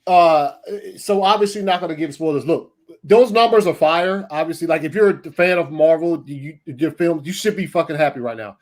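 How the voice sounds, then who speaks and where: A man's voice: a little high, normal speed, a little mellow. A man chatting casually on a streamed panel, emphatic, slangy and freely swearing.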